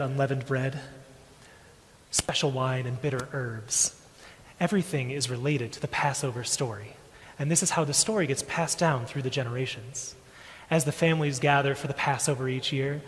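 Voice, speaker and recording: A man playing a disciple of Jesus, explaining in a measured way during a church dramatic reading. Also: medium pitch, close to an everyday voice. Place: church, live performance. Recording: reverberant hall with background noise.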